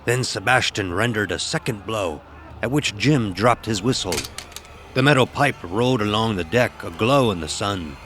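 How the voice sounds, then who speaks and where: A man's voice: on the low side, lively intonation, moderate pace, fairly bright tone, a little hiss or hum. A man narrating a scripted horror story as an old-time sailor, grave and dramatic, with archaic nautical diction.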